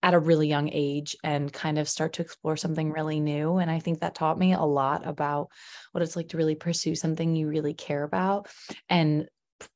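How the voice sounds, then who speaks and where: A woman's voice: deep voice, little pitch movement, brisk, a little mellow. A young woman from Chicago co-hosting a casual podcast, bubbly and chatty, laughing often and using many fillers. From Chicago, United States. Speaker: podcast co-host.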